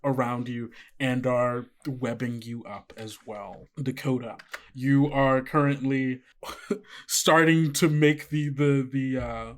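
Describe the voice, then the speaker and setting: Male game master, mid-pitched voice, improvising scene narration in casual, piecemeal phrasing. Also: fairly even intonation, slow. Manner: conversational, piecemeal narration peppered with "like". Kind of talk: narrating a tabletop actual-play game, improvised.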